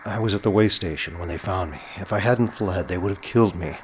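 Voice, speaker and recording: An actor playing a desperate, devoted inventor hero in a retro science fiction radio drama, with earnest dramatic delivery. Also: male voice, low-pitched, fairly quick, slight background noise, lightly acted. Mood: regretful.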